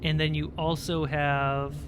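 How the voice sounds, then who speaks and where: A man with a fairly high voice runs a tabletop game as game master. He talks slowly, in short halting phrases, with faint noise behind him. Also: level tone. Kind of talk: improvised tabletop game narration. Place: indoor livestream.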